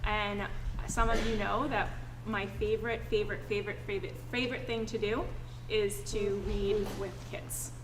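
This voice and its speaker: A woman educator reading aloud and chatting with children in an animated, encouraging way. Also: mid-range voice, moderate pace. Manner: encouraging, addresses children with endearments. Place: indoor school family event, live audience. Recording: echoey room with background noise.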